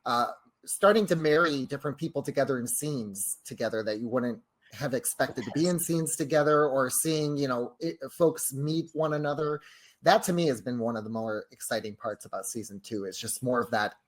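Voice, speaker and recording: A man's voice: medium pitch, normal speed. A man talking casually as a writer in a group chat, agreeable and upbeat. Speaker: audio drama writer.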